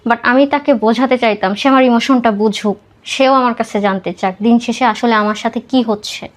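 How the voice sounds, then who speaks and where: A woman's voice: on the high side, fairly even intonation, normal speed. A young woman with a Bangladeshi accent tells her personal story conversationally in an interview, mixing in English words. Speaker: podcast guest telling own life story.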